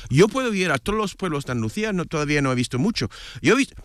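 A man's voice: on the high side, sing-song, rapid-fire, no echo, clean studio sound. A man whose first language is English, a guest on a radio show, chatting casually in Spanish and often stopping to search for words. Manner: hesitant, searching for words, many restarts.

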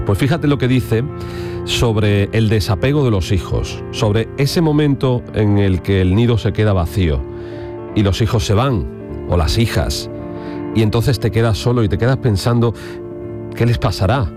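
A man's voice: lowish voice, normal speed. A man, hosting a radio show in a chatty, conversational way. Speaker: radio host. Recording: radio with music bed.

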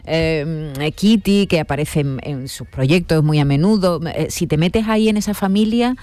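A man's voice: on the high side, normal speed, darkish tone, no room sound. A man chatting casually as an interviewer. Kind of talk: casual film interview chat.

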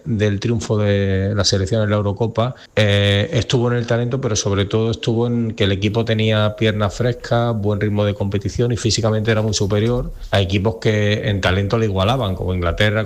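Low-voiced male football pundit. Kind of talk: unscripted analysis.

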